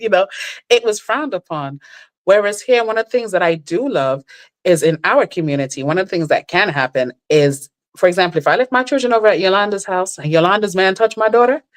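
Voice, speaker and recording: A middle-aged woman chatting as a guest, telling stories lively and leaping up in pitch when she quotes people. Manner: animated storyteller, jumps high when quoting others. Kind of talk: casual chat.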